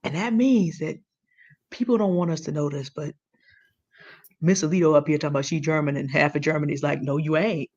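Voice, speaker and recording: A woman's voice: sits low, a little fast, darkish tone. A woman co-hosting an online talk, giving informal commentary straight to viewers, emphatic and confiding, with repeated words for effect. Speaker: online show co-host. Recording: dull, lo-fi audio.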